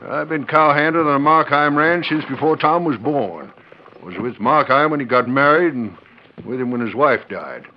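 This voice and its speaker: A man's voice: medium pitch, expressive, moderate pace, natural voice. Loyal old ranch hand played by a man, folksy and plain-spoken, muffled vintage radio western sound. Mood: confiding. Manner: folksy, rustic. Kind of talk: 1940s radio western acting. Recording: muffled, hissy 1940s radio recording.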